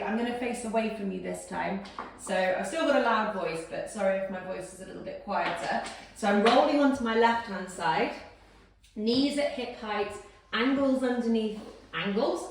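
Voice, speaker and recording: A woman guiding a posture exercise routine step by step, in a calm, instructive way. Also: mid-range voice, recorded from a distance. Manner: calm step-by-step cueing, counting down. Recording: very echoey room, voice from off-mic.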